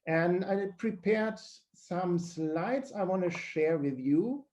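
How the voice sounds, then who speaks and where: German-accented man, mellow teaching voice. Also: high-pitched, lively intonation. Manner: earnest, slightly halting non-native phrasing. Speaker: guest presenter and teacher. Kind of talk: explaining physics over video call. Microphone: video call.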